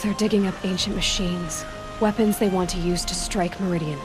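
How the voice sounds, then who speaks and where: Blunt young huntress played by an actress. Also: female voice, lowish voice, fairly even intonation, brisk, music in the background, close to an everyday voice. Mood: serious, urgent. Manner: direct, wry.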